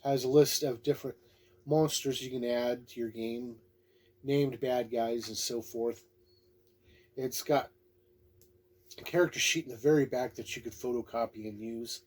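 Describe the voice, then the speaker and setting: A man's voice: mid-range voice, fairly even intonation, unhurried, pauses often. A man giving a casual, unscripted review in a folksy, plain-spoken, laid-back way. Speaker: tabletop game book reviewer.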